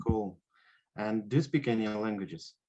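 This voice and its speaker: Deep-voiced male host on lo-fi audio. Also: mellow. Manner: non-native phrasing, halting. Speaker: podcast host. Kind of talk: interview questions. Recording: dull lo-fi call audio.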